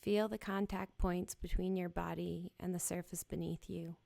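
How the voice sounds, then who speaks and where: Woman doing yoga nidra body scan, lowish voice, really flat and soothing, short phrases w gaps. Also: vocal fry, dry recording. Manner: gentle, unhurried, soothing, with short spaced phrases. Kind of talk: guided meditation, slow body scan.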